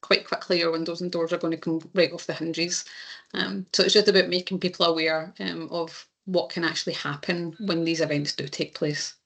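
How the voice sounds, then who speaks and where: A Scottish woman presenting in a webinar, talking listeners through her slides in a steady, explanatory way. Her voice has a gravelly edge, and her pitch stays level and even throughout. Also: a little fast. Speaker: insurance claims presenter.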